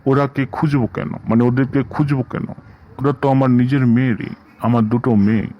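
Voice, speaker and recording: A man voicing a gruff older village schoolmaster in a comedy cartoon, bossy, insulting and exasperated with his pupils. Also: medium pitch, fairly even intonation, fast talker, muffled recording, natural voice.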